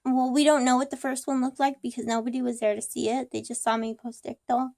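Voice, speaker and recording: A young woman with a cold, hoarse, rambling about her day in a casual personal vlog with many ums and restarts. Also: high voice, flat delivery, fast talker, a little mellow, dry recording, clean studio sound. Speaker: vlogger.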